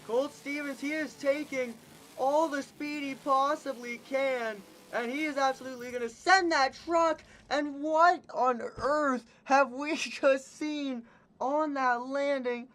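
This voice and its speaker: A man's voice: fairly even intonation, a bit bright. A man announcing a monster truck show in the style of an arena announcer, stretching out his hype calls, and he is losing his voice. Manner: booming, drawn-out hype calls. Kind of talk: hyped arena announcer commentary.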